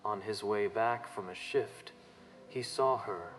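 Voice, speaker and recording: A man reads a dramatic scripted story as narrator for a manga dub. His voice is low and slow, with faint music underneath.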